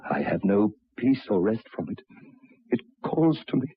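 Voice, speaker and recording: An actor in an old radio drama playing a troubled railway signalman, speaking in a grave, deliberate, halting way. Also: on the low side, sing-song, slightly rough, no room sound, full character voice. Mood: anguished. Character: haunted, troubled railway signalman telling of ghosts. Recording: dull lo-fi old radio recording.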